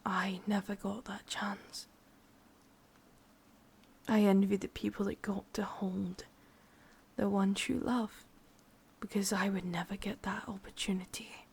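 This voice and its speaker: A woman with an English accent voicing an ancient, lonely, lovesick witch in a breathy, husky whisper for an ASMR roleplay. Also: medium pitch, level tone, full character voice. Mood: wistful, envious. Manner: slow, brooding, intimate delivery.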